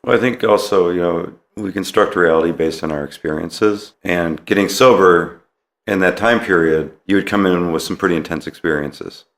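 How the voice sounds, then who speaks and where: Low-voiced man hosting an interview. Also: normal speed, fairly bright tone, reverberant. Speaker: podcast host. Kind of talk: thoughtful casual interviewing.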